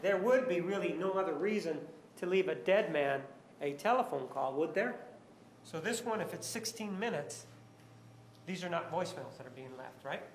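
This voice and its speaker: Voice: medium pitch, animated, moderate pace. A lawyer cross-examining a witness in a courtroom, putting pointed leading questions that end in short tags. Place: large indoor courtroom. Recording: echoey courtroom, faint background noise.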